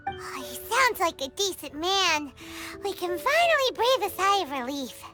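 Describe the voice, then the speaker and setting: A woman voicing a tiny, curious floating companion creature in a video game, speaking in a squeaky, eager way. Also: sing-song, normal speed, over music. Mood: excited.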